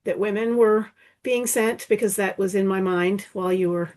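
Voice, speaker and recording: A woman's voice: mid-range voice, fairly even intonation, normal speed, a little mellow. A woman taking part in a discussion as an audience member, phrasing her questions tentatively and thoughtfully. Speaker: audience participant asking questions. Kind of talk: casual spoken discussion. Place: virtual event.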